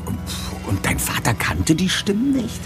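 An actor playing an inquisitive, analytical young detective in a German radio play, questioning thoughtfully. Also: male voice, high voice, wildly swooping pitch, moderate pace, music in the background, heavily acted. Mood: probing.

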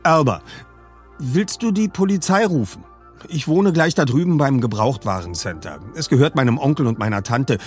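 A man's voice: medium pitch, animated, moderate pace, faint music behind, natural voice. A man voicing a polite, composed teenage boy detective in an audiobook, concerned and courteous. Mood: composed, helpful. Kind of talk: audiobook character voice.

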